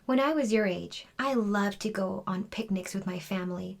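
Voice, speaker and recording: A woman's voice: medium pitch, moderate pace, vocal fry. A woman reading a storybook, voicing a kindly schoolteacher who encourages her class. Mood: wistful, hopeful. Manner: encouraging, addressing a class of children.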